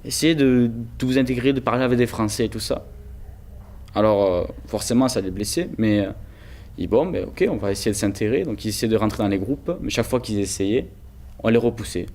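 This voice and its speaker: Teen boy, lowish voice, earnest, stumbles a bit, telling his family story. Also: a little fast, a little mellow. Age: teens. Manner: earnest, hesitant, with restarts. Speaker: student telling family history. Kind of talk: personal testimony, documentary voice-over.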